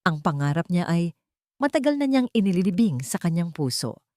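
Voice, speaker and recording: A woman's voice: fairly low pitch, very animated, normal speed, mellow, no echo, studio quality. A woman reading a scripted romantic story as a dramatic narrator.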